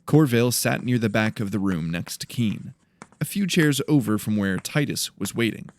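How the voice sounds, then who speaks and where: A man's voice: lowish voice, lively intonation, moderate pace. A man narrating dramatic fiction from a script as an audiobook storyteller. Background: faint music.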